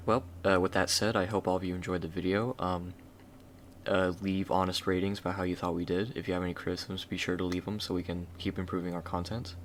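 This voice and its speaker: Low-voiced man in casual outro chat. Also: flat delivery, fast, slight background noise. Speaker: video creator, voice actor.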